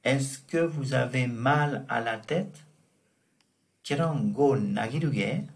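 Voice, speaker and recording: A man teaching French vocabulary, slow and deliberate, repeating each word and example as he explains it. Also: mid-range voice. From Tibet. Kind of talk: vocabulary lesson explained in Tibetan.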